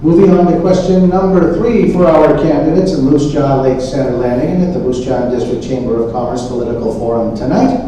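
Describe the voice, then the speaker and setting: A man's voice: mid-range voice, steady tone, brisk, hardly any pauses, dark tone. A man moderating a forum, formally reading questions aloud. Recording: echoey room, distant mic, faint noise.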